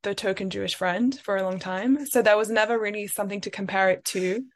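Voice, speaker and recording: A woman's voice: mid-range voice, fairly even intonation, fairly quick. Young woman, reflective, chatty, frequent fillers. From France, raised in London. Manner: thoughtful, many "like" and "um" fillers. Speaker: guest. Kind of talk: reflective interview talk.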